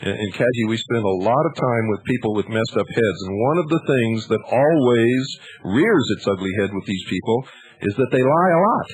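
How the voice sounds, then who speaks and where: A male American talk radio host with a slightly husky, mid-pitched voice. He debates bluntly and presses his questions insistently, in a confrontational live phone-in style. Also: lively intonation, brisk, rough recording. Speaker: call-in radio show host. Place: radio studio.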